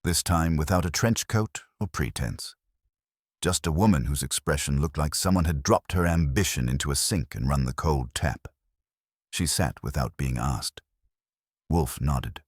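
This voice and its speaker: A man's voice: rumbling low, unhurried, slightly rough, no echo, studio quality. A man reading a first-person detective story as a scripted narration. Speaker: audiobook narrator.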